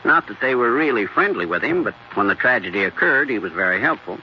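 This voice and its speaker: An actor playing a prim, formal family doctor with an American accent and a fussy, hesitant manner in a 1940s radio drama. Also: male voice, lowish voice, lively intonation, quick pace, natural voice. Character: prim, matter-of-fact general practitioner doctor. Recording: dull, hissy 1940s radio recording.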